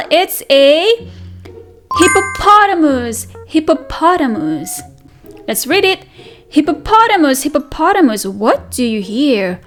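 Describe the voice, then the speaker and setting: A woman reading aloud as a preschool teacher, addressing small children in a sing-song manner with questions and repetition. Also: high voice. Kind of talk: interactive children's read-aloud lesson.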